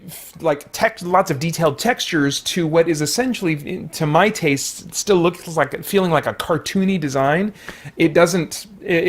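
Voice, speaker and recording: A man giving a casual, unscripted movie review in a conversational way, often searching for words. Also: a little high.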